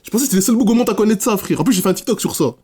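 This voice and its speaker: A man's voice: sits high, very fast, a little mellow, breathy edge. A young man chatting casually to his live audience as he reacts to a video, in slangy, exclamatory speech. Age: young adult. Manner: slangy, exclamatory street talk.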